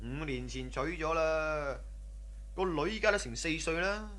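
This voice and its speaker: An actor playing a humble, easily impressed casino underling in a radio drama, chummy and deferential. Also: male voice, on the high side, animated, a little slow, fairly bright tone. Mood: warm, reflective. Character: humble, eager casino underling, admiring younger male cousin. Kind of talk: Cantonese radio drama acting.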